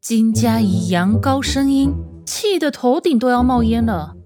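A woman narrating an audio novel in a dramatic, expressive storytelling style. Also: fairly high pitch, a little slow. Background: music.

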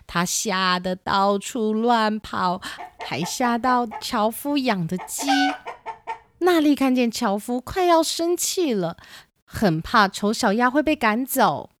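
A woman's voice: a little high, expressive, takes it slowly, no echo, clean studio sound. A woman reading scripted narration for a children's storybook tale. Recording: music behind the voice.

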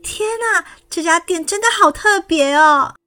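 A woman's voice: unhurried, exaggerated voice. A woman reading a storybook aloud and voicing a timid young schoolgirl who is afraid of water, with a wide-eyed, exclaiming manner. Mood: excited. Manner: wide-eyed, exclaiming, higher and brighter than the narration. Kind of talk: storybook character voice. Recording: faint music underneath.

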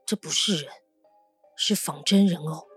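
A woman plays a composed young investigator in a suspense audio drama. The voice is a little low, with an observant, understated delivery. Music runs clearly behind it. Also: measured pace, a bit bright, full character voice. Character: calm, observant youth investigating a murder.

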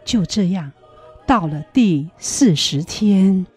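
A woman performing as a reverent storyteller, narrating a religious radio drama in a measured way. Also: lowish voice, expressive, darkish tone, husky, soft background music, heavily acted.

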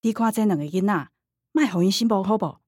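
A woman's voice: medium pitch, brisk, darkish tone, husky, no echo, clean studio sound, lightly acted. A woman playing a concerned middle-aged daughter and mother with a Taiwanese accent in a radio drama. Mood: hurt, pleading.

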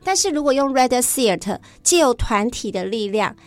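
A woman's voice: fairly high pitch, sing-song, normal speed. A woman with a Taiwanese Mandarin accent talks as a teacher being interviewed, with frequent affirmations and the odd English term. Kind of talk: conversational interview explanation.

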